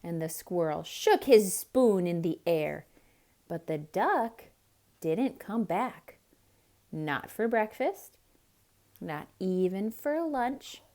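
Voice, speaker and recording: Female children's storyteller, theatrical and dramatic, unhurried, with wide swings in pitch. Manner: expressive, dramatic, explaining things to children. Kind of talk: theatrical picture-book read-aloud.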